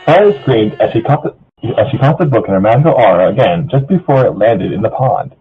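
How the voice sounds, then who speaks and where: Husky man casually narrating, muffled audio. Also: expressive, fairly quick. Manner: stumbles over words, often repeats phrases. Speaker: story reader. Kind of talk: casual narration of fan fiction. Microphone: online voice call. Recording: muffled low-fidelity voice chat.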